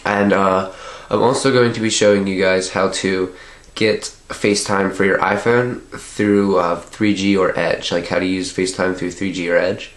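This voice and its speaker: A young man with a blocked, stuffy nose talks a little low as he explains phone settings step by step. His delivery is casual and meandering, full of little filler sounds, picked up by a camera close by indoors. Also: fairly even intonation, normal speed. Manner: loose and chatty, many filler sounds. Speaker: tech tutorial host.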